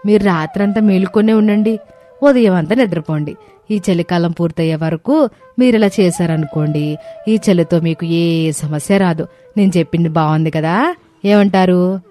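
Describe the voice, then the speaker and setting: A woman's voice: on the low side, moderate pace, dark tone, soft background music, close to an everyday voice. A woman voicing a young, practical daughter-in-law in a cartoon story, with an emphatic manner. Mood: advising.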